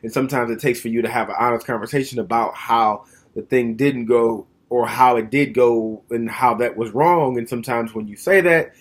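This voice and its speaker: A man's voice: medium pitch, normal speed. A man in his thirties talking at home in a casual, reflective monologue to the camera. He is frank and plainspoken, and thinks aloud as he goes. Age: 30s.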